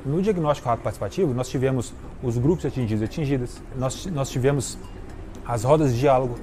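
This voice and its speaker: A man's voice: mid-range voice, fast, warm tone, slight background noise. A Brazilian man, an operations manager, giving an explanatory interview statement.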